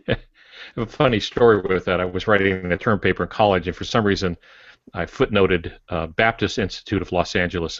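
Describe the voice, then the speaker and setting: Older man, very low voice, informal co-host, chatty asides, dry lo-fi recording. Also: fairly bright tone, dry recording. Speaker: podcast co-host, author. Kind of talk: informal guest introduction. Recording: dull, dry lo-fi audio.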